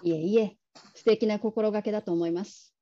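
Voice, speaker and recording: A woman playing a polite, caring call-centre manager in a radio drama, gentle and measured, on slightly dull audio. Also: medium pitch, steady tone, natural voice. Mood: friendly, courteous.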